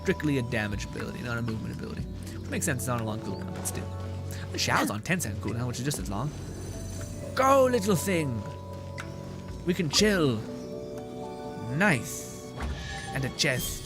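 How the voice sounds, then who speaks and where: A man's voice: mid-range voice, sing-song, moderate pace, pauses often. A young man streaming a game, wired on caffeine, rambling and joking with a loose, profane manner. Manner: rambling, joking, swears freely. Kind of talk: casual gameplay commentary. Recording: live stream with game music loud behind.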